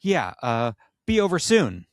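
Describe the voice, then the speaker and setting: A man's voice: medium pitch, huge pitch swings, measured pace, no echo, studio quality, voice pushed up. A man voicing a snarky, easily flustered, lovestruck male mascot in a comedy story. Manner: snarky, wistful.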